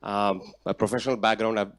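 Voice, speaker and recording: A man, introducing himself steadily at a ceremony with filler pauses. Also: on the low side, steady tone, a little hiss or hum. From India. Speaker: incoming student.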